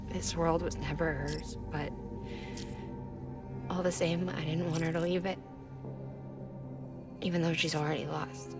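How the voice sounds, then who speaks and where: A low voiced engineer lady from a video game, candid, kinda sad and tender, music behind her. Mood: pleading, sad. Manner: measured, explanatory, short phrases with pauses. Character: earnest female engineer, tender and candid, quietly sorrowful. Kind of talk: video game cutscene acting.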